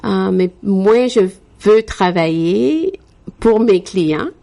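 A woman's voice: mid-range voice, animated, gravelly, dark tone. A woman in her sixties from Quebec, telling her life story as an interview guest with many hesitations. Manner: frequent hesitations with "euh", unhurried.

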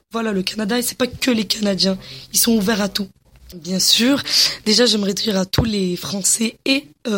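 A young woman from Morocco with a husky, breathy voice. She talks with eager enthusiasm, full of hesitations and restarts. Her pitch sits at an ordinary middle height. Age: young adult. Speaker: interviewee, young entrepreneur. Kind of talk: casual interview answers.

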